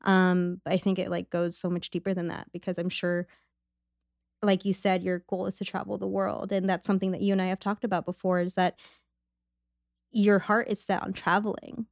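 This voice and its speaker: A woman's voice: medium pitch, flat delivery, moderate pace, gravelly, no room sound, studio quality. A woman in her late twenties hosting a podcast, reacting with enthusiastic slang and asking long, rambling questions. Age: late 20s. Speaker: podcast host. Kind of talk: casual conversational interview.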